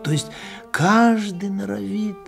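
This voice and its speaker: A man's voice: high voice, slow, creaky, soft background music, pitched above the performer's own voice, full character voice. A man voicing a gloomy, grumbling old doctor with a plaintive manner in a storybook reading. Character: grumbling, grey-haired old doctor, gloomy about business.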